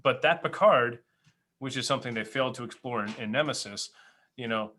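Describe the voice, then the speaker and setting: A man's voice: medium pitch, brisk, bright, forceful tone. A man hosting a casual fan discussion, talking in an emphatic, enthusiastic way. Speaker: podcast host.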